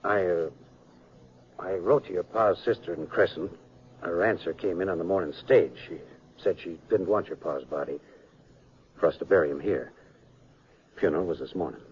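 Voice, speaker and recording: An actor playing an older small-town American sheriff, folksy and halting, in a 1950s Western radio drama. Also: male voice, low voice, darkish tone, natural voice. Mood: awkward, sorry. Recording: muffled, noisy 1950s radio recording.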